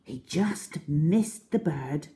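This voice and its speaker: A woman narrates a children's story in a slightly low voice. She is dramatic and deliberate, taking her time and varying her pitch widely. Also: expressive, dark tone. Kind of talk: expressive scripted story narration.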